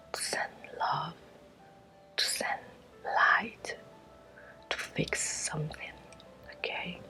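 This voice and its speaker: A woman with a Spanish accent guides an ASMR meditation in a breathy whisper, in short, halting, repeated phrases. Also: low-pitched, rough recording. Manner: slow, halting short phrases with gentle repetition. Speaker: ASMR creator guiding a Reiki energy exercise.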